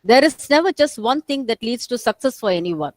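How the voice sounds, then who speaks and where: A woman's voice: a little high, lively intonation, brisk, dry recording. Dry-sounding woman anchoring a webinar. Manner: polite, ceremonial, formal phrasing. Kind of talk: formal anchoring of an academic event. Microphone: video call.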